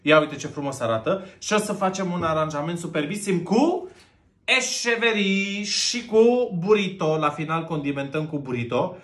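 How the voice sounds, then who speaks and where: A man in his thirties hosting a playful pretend cooking show, laughing a lot and stretching out his gushing praise. His voice sits a little high for a man. He is recorded by a camera a few feet away in an echoey room at home. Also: a bit bright. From Romania. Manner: jokey, laughs often, drawn-out gushing words; busy with his hands while talking. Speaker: host of a plant arranging show. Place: home room with plant wallpaper.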